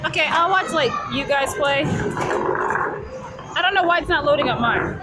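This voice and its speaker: A woman chatting casually and excitedly, coaching her friends as they play games in an arcade. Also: high-pitched, a little slow. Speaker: vlogger. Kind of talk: casual chat while playing arcade games. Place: busy arcade. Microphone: handheld phone. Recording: loud music and crowd noise behind. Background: loud music, crowd, arcade bustle.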